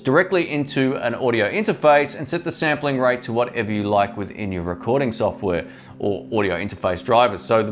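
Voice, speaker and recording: Man, tech reviewer, chatty and upbeat, explains step by step, home room, condenser mic on the desk. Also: medium pitch. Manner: speaks at varying loudness for gain tests. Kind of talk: casual product review to camera. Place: home room with a fridge nearby. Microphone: condenser microphone on the table.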